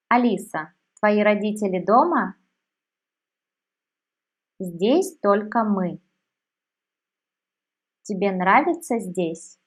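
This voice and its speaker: Very slow, deliberate woman teacher. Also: medium pitch. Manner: says each word separately with long pauses. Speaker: language teacher. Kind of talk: slow, deliberate language lesson.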